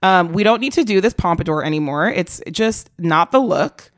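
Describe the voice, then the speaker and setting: A woman co-hosting a casual podcast chat, with a slightly raspy voice and a wry, teasing way of talking. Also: fairly low pitch, lively intonation, moderate pace, no room sound, studio quality. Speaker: podcast co-host.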